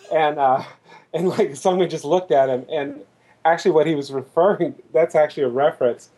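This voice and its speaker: A man chatting casually as a podcast guest, telling anecdotes in a halting way as he searches for words. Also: fairly high pitch, dark tone.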